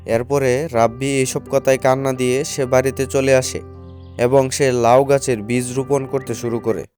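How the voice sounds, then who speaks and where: Male narrator for a cartoon tale, average pitch, scripted storytelling over a light music bed. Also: little pitch movement, normal speed, a little mellow. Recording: faint music behind the voice.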